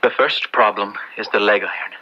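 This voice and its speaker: An actor in an old radio drama playing an earnest, devout young clergyman, halting and urgent, often repeating himself. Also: on the low side, steady tone, close to an everyday voice. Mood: urgent, determined. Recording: dull, noisy old radio drama recording.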